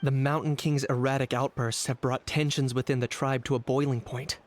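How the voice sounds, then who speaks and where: A man playing a cool, pragmatic young hunter for hire in scripted game dialogue, measured and matter-of-fact. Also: medium pitch, level tone, close to an everyday voice. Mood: cool, businesslike. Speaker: game character voice actor. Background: game background music.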